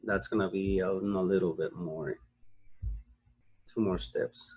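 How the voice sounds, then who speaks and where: A man's voice: deep voice, steady tone, unhurried, a little mellow, slightly rough. A young man from the United States explains a screen-recorded tutorial, rambling through fillers and restarts and checking in with "okay?" as he goes. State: sleepy. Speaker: online marketing tutor.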